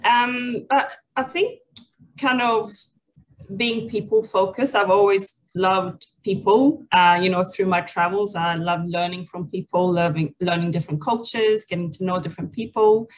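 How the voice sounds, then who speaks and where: A woman's voice: mid-range voice, steady tone, unhurried, slight background noise, low-quality recording. A woman in her forties from Sweden, a native Swedish speaker, telling her story conversationally and hesitantly as an interview guest. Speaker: interview guest, people and culture leader. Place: indoors, on a video call.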